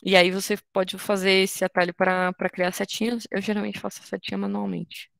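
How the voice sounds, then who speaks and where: A woman's voice: mid-range voice, flat delivery, fairly quick, gravelly, dry recording. A woman in her twenties from Brazil, teaching an online coding workshop in a patient, informal way, explaining step by step with frequent restarts. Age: 20s. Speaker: workshop instructor.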